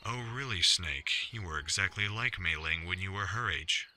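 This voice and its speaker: Older military commander, low voice, very sharp and forceful, paternal, authoritative, audio drama. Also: male voice, moderate pace, a little hiss or hum. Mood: steady, concerned. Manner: authoritative, reassuring.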